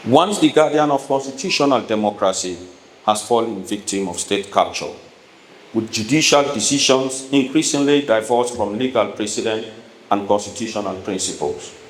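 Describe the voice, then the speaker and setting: A man with a Sierra Leonean accent delivers a formal prepared political speech as a politician, speaking emphatically and deliberately in measured phrases. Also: lowish voice. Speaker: politician addressing a town hall. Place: echoey hall at a town hall event. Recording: echoey room with noticeable background noise.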